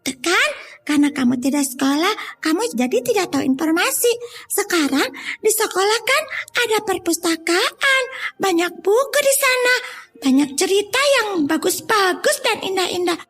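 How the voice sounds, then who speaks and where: Earnest, devout little schoolgirl, extremely high childlike voice, polite, for children's audio story. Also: animated, normal speed, fairly bright tone. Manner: childlike, polite, confiding in the listeners.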